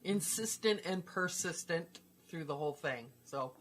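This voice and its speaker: A woman with a very low-pitched voice and a bright, forceful edge co-hosts a casual chat. She speaks conversationally, as a co-producer would. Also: expressive, a little slow. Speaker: co-host, co-producer.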